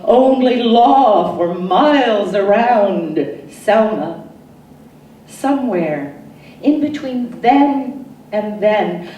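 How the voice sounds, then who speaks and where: An older American woman from New Jersey reads poetry dramatically, with solemn, deliberate phrasing and pauses. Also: medium pitch, a little mellow, echoey room, distant mic, a little hiss or hum, low-quality recording. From Newark, New Jersey, USA. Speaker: poet.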